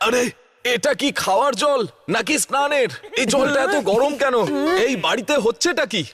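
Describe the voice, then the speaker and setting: A man's voice: very high voice, moderate pace, fairly bright tone. A man voicing a middle-aged cartoon father who grumbles and is easily swayed, blustery with exaggerated reactions. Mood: exasperated. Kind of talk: cartoon dub acting.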